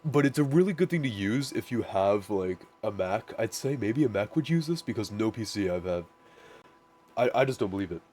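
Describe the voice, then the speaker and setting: A man with a naturally deep voice, casually walking through his music production setup, rambling with lots of "like" and restarts. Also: animated, normal speed, a little mellow, slight background noise. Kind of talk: casual screen-share tutorial.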